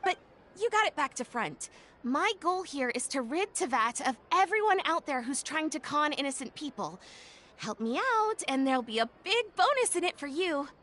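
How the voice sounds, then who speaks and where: A woman voicing an enthusiastic young female tech inventor in a video game, with an upbeat pitchman's patter. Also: big pitch swings, normal speed, fairly bright tone. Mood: amused. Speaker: game character.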